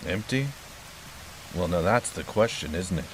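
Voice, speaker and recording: An actor playing a wary, stoic caretaker of a haunted house in an audio horror drama, speaking in terse, guarded, unhurried phrases. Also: male voice, low voice, lively intonation, slight background noise, rough recording. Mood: ominous.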